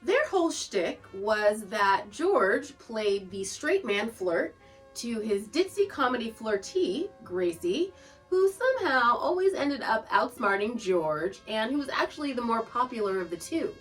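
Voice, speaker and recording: A woman podcast host with a high, very animated voice, talking casually as she introduces things. She sounds a little way off from the mic, with music underneath. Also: unhurried, far from the mic, poor audio. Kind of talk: casual informative narration introducing old radio shows.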